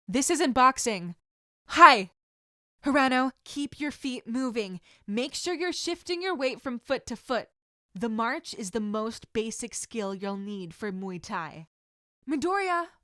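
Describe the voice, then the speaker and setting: A woman's voice: on the high side, bright, forceful tone, no echo, studio quality. Woman voicing a stern, commanding female martial arts instructor.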